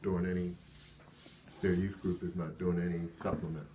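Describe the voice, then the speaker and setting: A man's voice: low-pitched, little pitch movement, normal speed, mellow, slight background noise. A man at a meeting asking probing, practical questions in a casual way.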